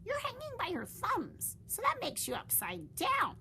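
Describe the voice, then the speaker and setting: A woman voicing a friendly, matter-of-fact grown-up bat with a very high, emphatic voice. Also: wildly swooping pitch, a little slow, pushed and bright, a little hiss or hum, heavily acted. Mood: curious.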